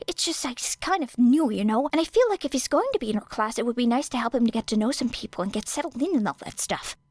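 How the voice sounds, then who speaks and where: Eager, apologetic teen boy hero student, put on by a woman reader; high and bouncy, dry close sound. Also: animated, quick pace, darkish tone, dry recording. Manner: eager, apologetic rambling, tripping over himself. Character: nervous rambling teenage boy hero student. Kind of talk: audiobook character voice.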